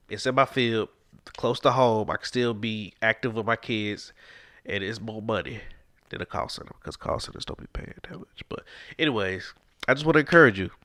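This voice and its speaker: A man's voice: lowish voice, bright, forceful tone, no echo, studio quality. A man, hosting a casual podcast with a stuffed-up, congested nose, earnest and encouraging and sliding into preacher-like exhortation. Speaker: podcast host.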